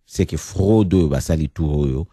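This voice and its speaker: A man's voice: a bass voice, unhurried, mellow, slightly rough, dry recording, clean studio sound. A man with a Congolese accent giving emphatic political commentary as a radio guest, repeating words and asking rhetorical questions.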